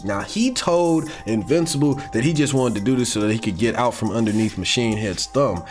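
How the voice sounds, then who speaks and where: American male commentator, medium pitch, casual and rambling with heavy slang and fan excitement, recorded over background music. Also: fairly quick. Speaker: YouTube commentator on comics and animation. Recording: music playing behind the voice.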